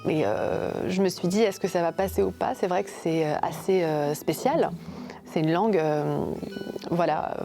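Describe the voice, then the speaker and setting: A fado singer, a woman from Portugal's Algarve, telling her story in an unhurried, reflective way in a documentary interview. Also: on the low side, vocal fry, dark tone, faint music behind.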